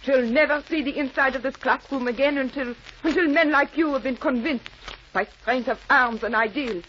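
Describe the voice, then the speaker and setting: High-voiced, dignified schoolteacher, old radio. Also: female voice, fairly bright tone. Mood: defiant. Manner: measured, formal, earnest. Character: dignified, defiant woman schoolteacher in occupied Europe. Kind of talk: 1940s radio drama acting. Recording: muffled, noisy old radio recording.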